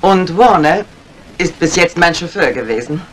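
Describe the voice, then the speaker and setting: A woman's voice: lowish voice, lively intonation, normal speed, close to an everyday voice. An actress playing a self-assured, inquisitive lady mystery writer in a retro radio crime drama. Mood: composed. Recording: noisy old radio recording.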